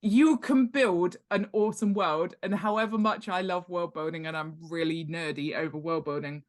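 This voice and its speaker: A woman's voice: fairly low pitch, fairly even intonation, moderate pace. A woman chatting casually as a guest expert in an interview, in a chatty, jokey and emphatic way. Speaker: guest author and world-building expert. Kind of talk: casual podcast interview chat.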